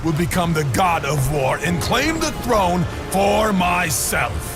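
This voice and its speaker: A man's voice: high voice, animated, takes it slowly. An actor playing a bitter, boastful demigod strongman jealous of his brother in a video game, taunting and theatrical. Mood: boastful threat. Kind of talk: dramatic video game cutscene acting. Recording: music behind the voice.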